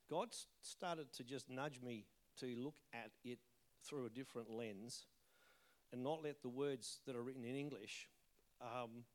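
A man preaches in a church auditorium at a mid pitch, chatty and self-deprecating, then he lifts sharply on the words he wants to land. A faint croak from a fading cold lingers in his voice. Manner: conversational, joking asides, emphatic rises on key words. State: tail end of a cold, occasional croak. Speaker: visiting pastor. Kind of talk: sermon with prayer.

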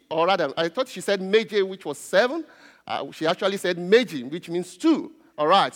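A man with a Nigerian accent, a native Yoruba speaker, preaches a sermon as a pastor in a deliberate way, repeating key phrases. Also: high voice, fairly bright tone. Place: large reverberant indoor church space. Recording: reverberant hall.

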